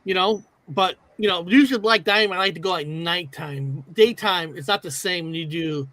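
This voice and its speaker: Co-host, male, high and bright, loose rambling delivery, dry sound. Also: moderate pace, no room sound. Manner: rambling, repeats filler words. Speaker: co-host of a paranormal talk show. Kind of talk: casual live-stream chat.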